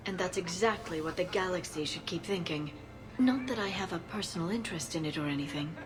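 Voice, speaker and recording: Woman as poised, lower-voiced alien woman. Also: over music, natural voice. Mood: wry. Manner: measured, wry. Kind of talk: video game character acting.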